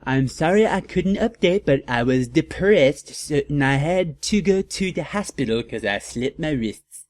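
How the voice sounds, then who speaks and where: A man's voice: a little high, lively intonation, unhurried, a little mellow, no room sound. A man voicing a defensive, sulky teenage girl author with a bratty, petulant manner in a comic reading.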